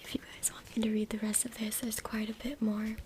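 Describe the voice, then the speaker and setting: A woman reading aloud in a breathy, unvoiced ASMR whisper with informal, hushed asides. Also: fairly high pitch, completely flat delivery, fairly quick. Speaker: ASMR creator. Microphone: close USB microphone. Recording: noisy USB mic with clicks, tapping. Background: tapping, scratching, clicks.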